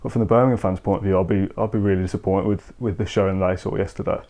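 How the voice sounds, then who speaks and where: A man's voice: low voice, fairly even intonation, rapid-fire, dark tone. A young man, talking through a football match in casual chat on a video call. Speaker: football podcast co-host. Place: home room, indoors. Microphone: video call with earphone mic.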